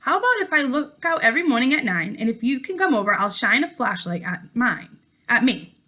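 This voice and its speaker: A woman's voice: a little high, big pitch swings, a little fast, sharp tone, full character voice. A woman voicing a bold, confident girl in a storybook, breezy and mocking.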